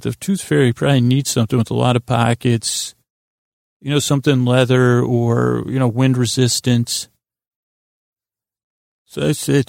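A man with a creaky, gravelly voice recorded dry and close to the ear. He rambles through an improvised bedtime podcast, stopping and restarting his sentences, goofy and self-deprecating. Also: medium pitch, darkish tone, no echo. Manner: meandering, halting, self-interrupting. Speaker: podcast host.

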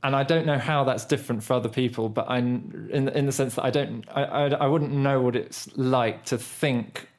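A man's voice: medium pitch, fairly even intonation, moderate pace, hardly any pauses. A young British man co-hosting a podcast, telling rambling, self-deprecating stories with frequent restarts. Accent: British English. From Britain. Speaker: podcast co-host. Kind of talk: casual conversational storytelling.

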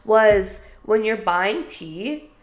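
A woman's voice: medium pitch, lively intonation, very slow. Chatty, stuffy-nosed woman podcast host. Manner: chatty and upbeat, with many "like" fillers. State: stuffy nose. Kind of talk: casual solo podcast advice.

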